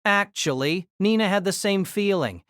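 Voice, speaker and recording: Expressive woman narrator with lively pitch. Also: normal speed, dry recording, clean studio sound. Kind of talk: dramatic scripted narration of a novel.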